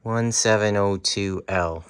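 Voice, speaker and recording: A man in his thirties talking casually and haltingly, thinking aloud as he presents a home repair vlog on a handheld phone. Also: fairly low pitch, smooth. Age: 30s. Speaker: hobbyist repairer showing his work. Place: carpeted room at home. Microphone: handheld phone, at arm's length.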